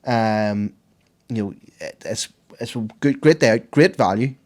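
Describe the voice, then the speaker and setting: A hoarse man with a Northern Irish accent who co-hosts a podcast and gives the sport round-up with animated, excitable enthusiasm. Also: mid-range voice, a little slow. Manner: enthusiastic, animated, laughs easily. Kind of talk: casual podcast chat.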